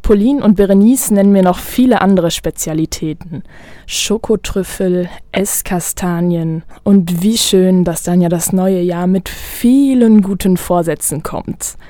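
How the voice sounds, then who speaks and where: A woman narrating a scripted radio feature as the reporter, in a calm, measured and clearly read delivery. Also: lowish voice, no room sound, studio quality.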